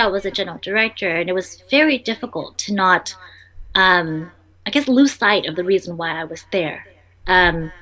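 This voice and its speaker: A woman's voice: lowish voice, a bit bright, low-quality recording. A young woman entrepreneur, a native Vietnamese speaker, answering interview questions in a chatty way full of ums and restarts.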